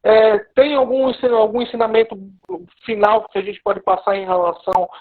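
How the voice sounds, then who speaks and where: A man hosting a live online interview, asking questions in a relaxed, conversational way. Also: sits high, normal speed. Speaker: host. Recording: phone-like lo-fi call audio.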